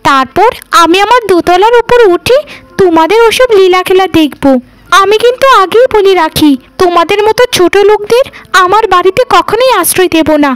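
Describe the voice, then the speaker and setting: Casting: female actor as arrogant rich village auntie for a cartoon, very high voice, sneering scolder, music underneath. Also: moderate pace, a bit bright, no room sound. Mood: boastful scorn. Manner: sneering, berating. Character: haughty, scornful rich village woman, scolding the poor. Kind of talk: Bengali cartoon dubbing.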